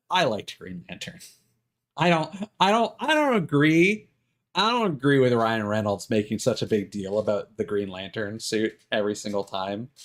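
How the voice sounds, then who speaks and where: Low-pitched man, rambling casual streamer. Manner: rambling, hesitant, self-correcting, thinking aloud. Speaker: live game streamer.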